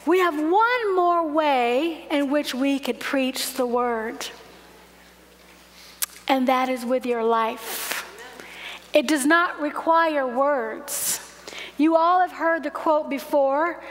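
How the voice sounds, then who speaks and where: A woman in her forties preaching a sermon at a lectern in a large church, telling stories in a gentle, measured way. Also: high-pitched. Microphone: lectern microphone. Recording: long hall reverb.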